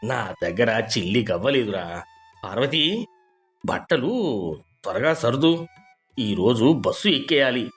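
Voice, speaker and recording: A man voicing a selfish elderly uncle, gruff and scolding, in a dramatized moral story. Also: mid-range voice, sing-song, unhurried, long pauses, fairly bright tone, faint music behind. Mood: evasive, grumbling. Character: boastful, selfish elderly uncle making excuses.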